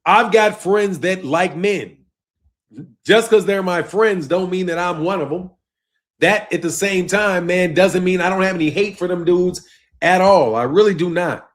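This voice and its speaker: A man, hosting a boxing channel live stream and talking loosely and emphatically, dropping low at the ends of phrases. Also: measured pace. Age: 50s. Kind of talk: casual live-stream rant reading chat.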